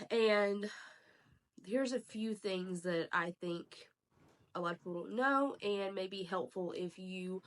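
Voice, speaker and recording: Slightly raspy, low-pitched woman vlogging. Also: a bit bright. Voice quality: a little raspy. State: sick, slightly hoarse. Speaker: realtor and channel host. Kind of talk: casual talk to camera, advice. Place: at home, indoors.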